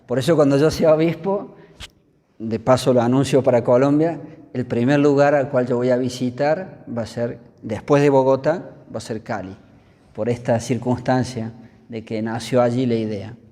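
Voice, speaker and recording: Male interviewee, fifties, Argentine priest. Soft-edged, mellow tone, measured and pausing delivery, reverberant room. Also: medium pitch, steady tone. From Córdoba, Argentina. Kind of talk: conversational storytelling in an interview. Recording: long reverberant hall.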